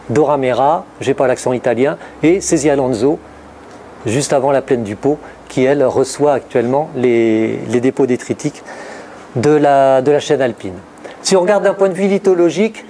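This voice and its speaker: A man's voice: mid-range voice, dark tone, slight background noise. A middle-aged man giving a geology lecture with slides, listing place names and correcting himself as he goes. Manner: lists place names steadily, frequent fillers and self-corrections. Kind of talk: university-style lecture with slides. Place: large lecture hall with blackboards, indoors. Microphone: room mic from a distant camera.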